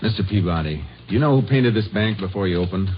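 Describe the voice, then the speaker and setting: A man acting and narrating as a calm, shrewd Texas Ranger in a radio crime drama, clipped and matter-of-fact. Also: low-pitched, fast. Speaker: lead actor and narrator. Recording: dull old radio recording with music.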